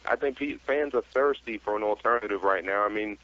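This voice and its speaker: A man's voice: fairly low pitch, fairly quick, a little hiss or hum. A man phoning in to a radio show as a guest, chatting casually and giving his opinions. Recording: dull call-in radio audio.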